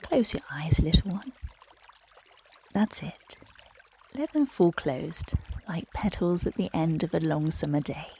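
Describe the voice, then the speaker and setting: A woman guiding children through a relaxation and bedtime story in a gentle, soothing, breathy voice that often drops close to a whisper. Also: deep voice, expressive, measured pace, slight background noise. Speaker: meditation guide and bedtime storyteller.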